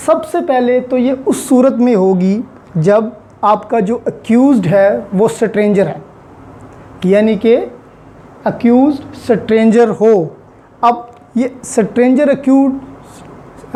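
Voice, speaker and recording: Pakistani woman lecturing, mellow and unhurried. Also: fairly high pitch, a little hiss or hum. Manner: didactic, repeats key points for emphasis; mixes English terms and phrases into speech. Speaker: law lecturer. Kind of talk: explanatory lecture with worked examples.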